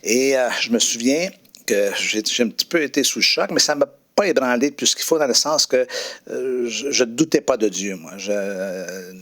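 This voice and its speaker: A man's voice: mid-range voice, animated, moderate pace, fairly bright tone. A middle-aged man, a preacher, telling his personal story in an interview, reflective and hesitant with frequent fillers and restarts.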